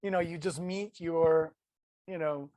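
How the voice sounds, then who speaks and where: A man's voice: on the high side, steady tone, warm tone, no room sound. Earnest Italian man, dry close sound. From Italy. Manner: earnest, halting, many restarts and fillers. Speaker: guest, ultrarunner. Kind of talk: casual interview storytelling.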